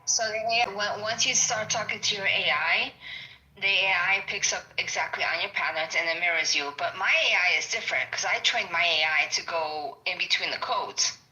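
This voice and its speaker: A woman from New York with a New York accent, chatting in an excited, rambling way and swearing casually. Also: on the low side, moderate pace, low-quality recording. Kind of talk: casual chat.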